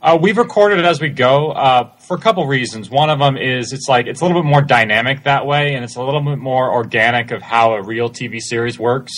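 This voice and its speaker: A man's voice: mid-range voice, fairly quick, hardly any pauses, fairly bright tone. A man answering questions casually as a creator being interviewed on a podcast. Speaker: interview guest, audio drama creator.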